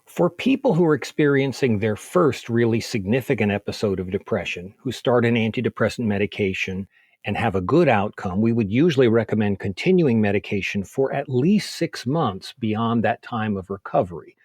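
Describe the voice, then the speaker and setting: Slightly husky, low-voiced male medical expert. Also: expressive, a little mellow, dry recording, studio quality. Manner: measured, methodical, explanatory. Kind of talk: interview explanation of clinical guidance.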